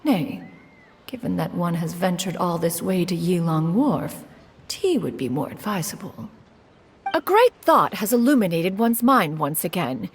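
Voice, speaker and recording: Haughty immortal woman, theatrically animated. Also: fairly low pitch, measured pace, a little hiss or hum. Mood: aloof, musing. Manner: imperious, archaic formal diction, calls herself "one". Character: haughty, aloof elder immortal woman, proud and eccentric. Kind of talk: video game voice acting.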